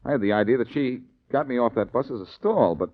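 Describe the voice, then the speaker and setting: An actor playing a wisecracking, unflappable amateur detective in a glib, sardonic 1940s radio drama style. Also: male voice, mid-range voice, fast talker, natural voice. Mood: cool, probing. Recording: dull, lo-fi old radio recording.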